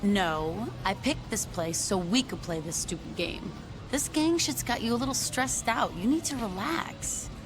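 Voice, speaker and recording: An actress playing a laid-back young stoner gang member in a video game, casual and teasing. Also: female voice, medium pitch, expressive, measured pace, music in the background. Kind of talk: video game cutscene acting.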